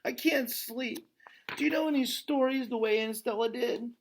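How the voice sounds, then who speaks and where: A man's voice: very high-pitched, moderate pace, creaky, a little hiss or hum, voice pushed up, poor audio. A man voicing a sad, innocent young female elephant who pleads in a childlike, plaintive way, in a storybook reading. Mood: sad, tearful.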